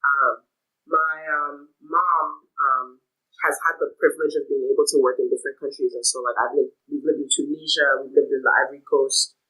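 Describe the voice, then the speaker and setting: A woman's voice: very deep voice, fairly even intonation, brisk, sharp tone, rough recording. A woman in her late twenties from Ghana, whose first language is French, chatting casually as a podcast guest over the phone, with lots of fillers and laughs. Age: 20s. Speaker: guest musician. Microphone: phone call.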